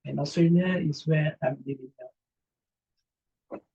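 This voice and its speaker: Man from malaysia on a video call, reads out the chat questions, lots of ums, kinda husky, muffled audio. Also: on the high side, fairly even intonation, slow, dark tone. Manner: reads out chat questions, answers with hesitant fillers. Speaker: presenter. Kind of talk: Q&A after an online talk.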